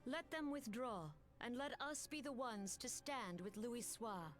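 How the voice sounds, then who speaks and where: A woman playing a solemn, composed elven leader in a video game, speaking formally and gravely. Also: a little high, unhurried, a bit bright, faint music behind. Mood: grave, pleading. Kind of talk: dramatic video game acting.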